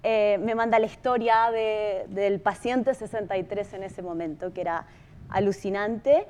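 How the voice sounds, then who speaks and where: A woman's voice: mid-range voice, a little slow, darkish tone, a little hiss or hum. A Chilean woman speaking as a producer, telling a story in an informal, conversational presentation with emphatic repetition. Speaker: podcast producer giving a talk.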